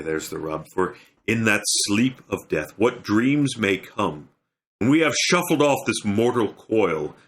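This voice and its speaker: A man performing a troubled, brooding young prince in a Shakespearean stage soliloquy, with measured, solemn phrasing. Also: low voice, fairly bright tone, heavily acted. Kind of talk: Shakespearean dramatic recitation.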